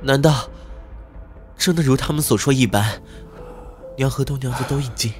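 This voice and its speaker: A man's voice: mid-range voice, normal speed, faint music behind, lightly acted. A man playing an anguished, earnest young prince in a historical audio drama, speaking with emotion. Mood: distraught.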